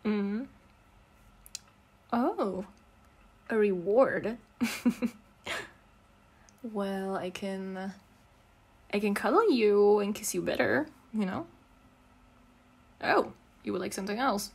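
A woman playing a giggly, teasing, affectionate girlfriend in an intimate ASMR roleplay, non-native in English, with soft, casual, rambling talk. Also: mid-range voice, slow pace, vocal fry, long pauses, husky, exaggerated voice. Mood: fond, teasing. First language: not English. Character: giggly, teasing, affectionate gamer girlfriend comforting her partner.

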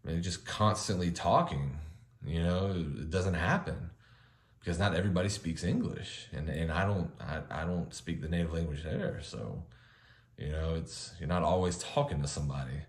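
A man in his thirties from the United States, chatting casually to camera at home, relaxed and rambling with many restarts. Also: low-pitched, normal speed, echoey room. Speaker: vlogger. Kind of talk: casual vlog monologue. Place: home living room with kitchen behind, indoors.

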